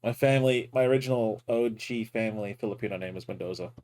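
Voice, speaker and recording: A young man hosting a reaction video, chatting casually, swearing freely and breaking into loud laughs. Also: lowish voice, quick pace.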